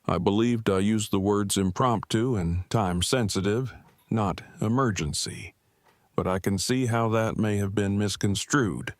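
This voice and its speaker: A man playing an earnest, bookish, devoted husband in an audio drama, speaking in formal, wordy, measured phrases. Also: on the low side, dry recording, close to an everyday voice. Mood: matter-of-fact. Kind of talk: fan audio drama acting.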